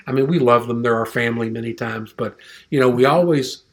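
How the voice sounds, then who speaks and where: A man's voice: mid-range voice, brisk. A man teaching an informal Bible class, rambling and conversational, with frequent restarts and fillers.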